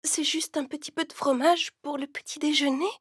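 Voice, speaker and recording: A woman voicing a gentle, meek young Cinderella in a fairy tale, speaking softly and politely. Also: sits high, fairly even intonation, normal speed, dry recording, clean studio sound, voice pushed up, close to an everyday voice. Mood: pleading. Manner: soft, polite, earnest.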